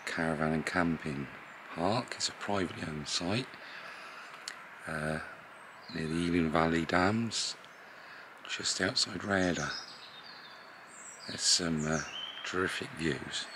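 A man in his fifties, relaxed and chatty with frequent fillers, filming himself at arm's length while walking around a riverside campsite. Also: low voice, slow, long pauses. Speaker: vlogger touring a campsite. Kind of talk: casual walk-around commentary. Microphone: handheld camera at arm's length. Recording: outdoor camera audio with clear ambient noise. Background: birdsong and rural outdoor ambience.

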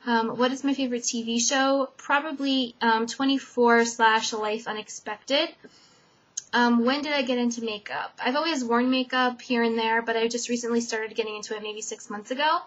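A young woman from Connecticut answering a tag video's questions in a casual chat, with lots of "um" fillers, her voice trailing off softly at sentence ends. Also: fairly high pitch, fairly even intonation, moderate pace. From Connecticut, USA. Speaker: vlogger. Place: dim bedroom, indoors. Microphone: webcam. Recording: dull lo-fi webcam audio.

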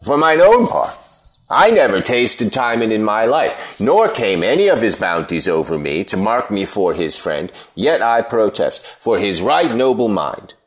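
A solemn observer of human ingratitude acted by a man in a Shakespeare audio play. He declaims slowly in a fairly low voice, grave and moralizing. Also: very animated. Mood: grave disapproval. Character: grave, moralizing male stranger condemning ingratitude. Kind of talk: unrehearsed Shakespeare play reading.

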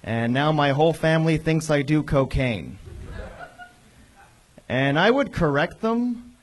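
A man's voice: a little high, very animated, a little slow, some chatter behind. A young man from Montreal whose first language is French, doing stand-up and banter into a handheld mic on stage. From Montreal, Canada. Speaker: stand-up comedian. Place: indoor comedy club stage. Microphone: handheld microphone. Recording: noisy live club stage.

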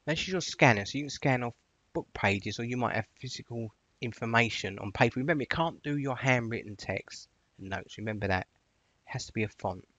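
A man with a fairly low voice talks a viewer through software steps. He is chatty and instructive, leaning on the first words of each phrase. The recording is dry and a little dull, as if on a close computer mic. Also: no echo. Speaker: software tutorial presenter. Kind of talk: informal instructional screencast narration.

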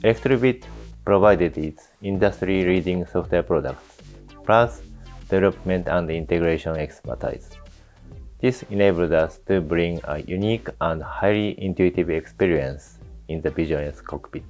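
Middle-aged executive, very low voice, measured, faint music under. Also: male voice, a little mellow. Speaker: company executive. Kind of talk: interview statement. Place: bright office by a window.

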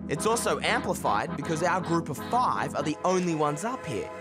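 A man hosting a travel show, presenting outdoors on a mountain glacier with an excitable, exclaiming manner and a lapel mic. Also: a little high, moderate pace. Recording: music bed behind.

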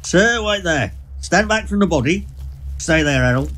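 A man's voice: a little high, expressive, normal speed, fairly bright tone. A man playing a stern, dismissive senior police officer in an audio drama, with a curt, authoritative manner. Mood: commanding.

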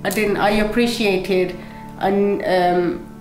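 Young woman from pakistan, urdu her first language, lots of ums and restarts, roomy echo with soft music behind. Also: mid-range voice, fairly even intonation, takes it slowly. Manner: hesitant, many fillers and restarts. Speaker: motivational speaker, guest. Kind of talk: telling her life story in an interview. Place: indoor studio set with brick wall, seated at table.

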